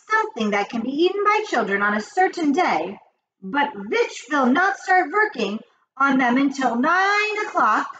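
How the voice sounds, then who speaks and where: Imperious, venomous, high-pitched witch. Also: fairly bright tone, highs cut off, slight background noise. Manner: commanding, grandiose, drawn-out emphatic delivery. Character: imperious, venomous female witch leader, boastful and cruel. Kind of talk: storybook character voice.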